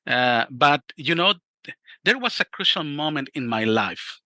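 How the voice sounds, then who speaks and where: A man's voice: on the high side, lively intonation, measured pace, sharp tone, no room sound. A middle-aged man from Mexico with a Mexican Spanish accent, telling his personal testimony in an interview in an earnest, reflective way and often restarting his sentences. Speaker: youth ministry director.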